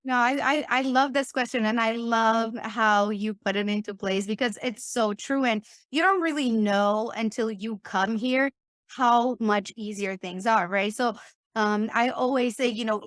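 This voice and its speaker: A bubbly woman from Romania, chatting as a podcast guest and getting hyped up easily. Her voice sits a little high. The sound is dry and close to the mic. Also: dry recording. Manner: excitable, bubbly, laughs often. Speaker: podcast guest, business owner. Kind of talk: casual interview talk.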